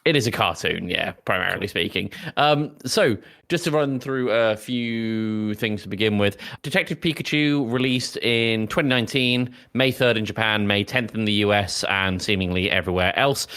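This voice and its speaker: A man's voice: on the low side, moderate pace, hardly any pauses, fairly bright tone. A man hosting a casual film discussion podcast in a chatty, jokey way. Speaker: podcast host.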